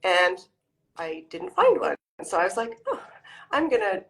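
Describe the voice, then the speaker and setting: A woman's voice: fairly high pitch, huge pitch swings, normal speed, creaky, fairly bright tone. A woman in her forties from Canada, talking in a casual interview over a video call, with lots of ums and restarts. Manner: chatty, many ums and restarts. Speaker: author guest.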